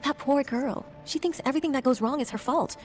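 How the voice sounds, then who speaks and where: A woman's voice: high-pitched, fast, darkish tone, faint music behind, natural voice. An actress playing a kindly, motherly townswoman in a video game, speaking with tender sympathy. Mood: tender, concerned.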